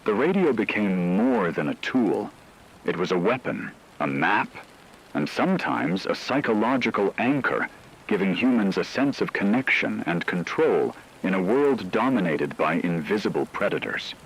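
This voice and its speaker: A man delivering scripted dramatic narration in a grave, measured storytelling manner. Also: lowish voice, slightly rough, rough recording.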